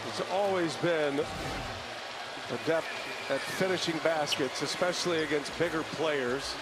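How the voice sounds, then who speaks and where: A man's voice: a little high, a little slow. A man calling a basketball game live as play-by-play commentator. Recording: loud arena noise and ball bounces.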